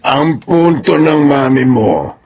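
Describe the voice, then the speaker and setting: A man's voice: medium pitch, very animated, slow, darkish tone, dull audio. A man playing a stern, disapproving middle-aged father with a Filipino accent, lecturing in radio drama style. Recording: faint background noise.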